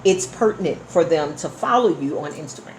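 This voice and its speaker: Female interview host: lively, varied intonation, gushing and supportive, chatting live in an echoey room. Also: sits low, brisk. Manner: effusive, encouraging. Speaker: radio show host. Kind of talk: live radio interview chat. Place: indoor room at a live online broadcast. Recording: roomy live sound, faint noise.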